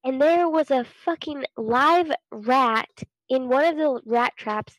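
A woman's voice: high voice, takes it slowly, no echo, poor audio. A young American woman with a cold, telling stories casually as a podcast co-host. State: getting over a cold. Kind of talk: casual storytelling chat.

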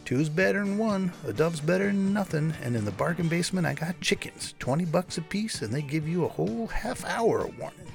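A man's voice: on the high side, expressive, moderate pace, creaky, hardly any pauses, soft background music, pitched above the performer's own voice. A man voicing a pushy street bird peddler with folksy sales patter. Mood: hawking.

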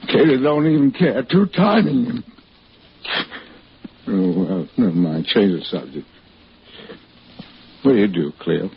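A heartbroken, boozy American husband in a vintage radio play. His pitch swings widely as he hesitates, stammers and repeats himself. The recording is muffled and faintly hissy. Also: animated, unhurried, long pauses. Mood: drunken, rambling. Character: anxious, drunken, heartbroken husband hiding behind a false name. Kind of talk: 1940s-50s American radio drama acting.

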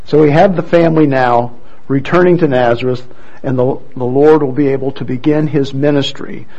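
A man introducing scripture readers at a church program, speaking in a relaxed way with light asides, on a muffled recording. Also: medium pitch, moderate pace. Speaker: worship leader introducing readers. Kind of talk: church service emcee.

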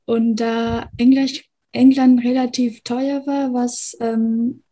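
A woman's voice: on the high side, level tone, slow, warm tone, low-quality recording. A young woman from Albania in her twenties, answering interview questions casually with many fillers and restarts. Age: 20s. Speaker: guest, computer science student.

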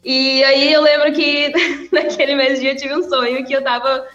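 A woman's voice: high-pitched, level tone, normal speed, a bit bright. A young woman and former missionary chatting casually in an interview, often laughing between phrases. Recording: echoey room, dull sound, faint music.

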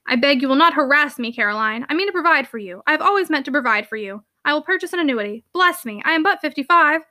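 A woman's voice: sits high, expressive, fast, sharp tone, dry recording, clean studio sound. A woman voicing a blustering, impatient middle-aged uncle from a storybook, brusque, scoffing and exclamatory. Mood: firm, impatient. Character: blustering, impatient fifty-five-year-old uncle, gruffly dismissive. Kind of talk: storybook character dialogue.

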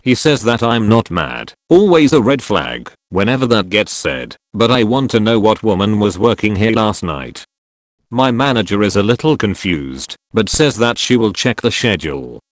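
Low-voiced man narrating stories. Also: big pitch swings, moderate pace, no room sound, studio quality. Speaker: narrator reading online posts. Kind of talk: scripted narration.

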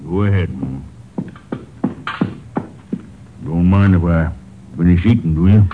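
An actor playing a gruff, curt, defiant old father of a fugitive in a 1950s radio drama. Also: deep voice, brisk, lightly acted. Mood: surly. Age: older. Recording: tinny, noisy old radio recording.

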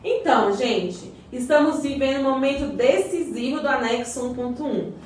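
A woman's voice: high-pitched, moderate pace, natural voice. A woman playing an encouraging, well-informed community guide in a scripted radio soap, explaining things didactically. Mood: explanatory. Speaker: character explaining community projects. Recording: very echoey, distant mic, faint noise.

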